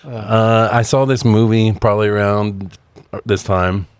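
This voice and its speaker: A man's voice: fairly low pitch, fairly even intonation, unhurried, smooth, dry recording. A middle-aged American man co-hosting a podcast, telling stories casually with wry, freely profane humor. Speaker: podcast co-host. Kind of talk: casual storytelling banter.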